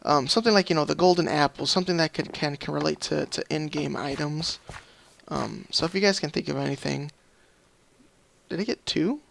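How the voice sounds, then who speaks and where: Man, gravelly scratchy tired voice, casual game commentary, rambling and apologetic, faint background noise. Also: fairly high pitch, brisk, a bit bright. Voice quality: slightly scratchy. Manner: rambling, thinking aloud, apologetic. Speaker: host of a gaming video series. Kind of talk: casual Minecraft let's play commentary.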